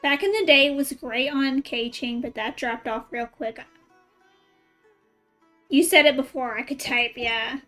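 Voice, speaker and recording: Female game streamer, high voice, informal and chatty, thinks aloud to viewers, faint music underneath. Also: a little slow, pauses often, sharp tone. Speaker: game livestreamer. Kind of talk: casual livestream chat with viewers while playing.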